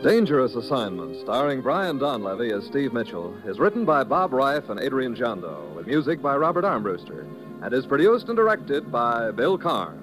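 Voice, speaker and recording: A man reading the closing credits as announcer, formal and measured. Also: mid-range voice, very animated, low-quality recording. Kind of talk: old-time radio credits announcement. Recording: old radio broadcast with music behind.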